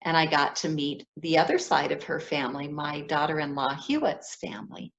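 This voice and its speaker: A woman's voice: deep voice, moderate pace, gravelly, lo-fi sound. An older woman with an American accent, a children's book author telling personal stories in a talk for young listeners. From grew up in Ethiopia as an American child.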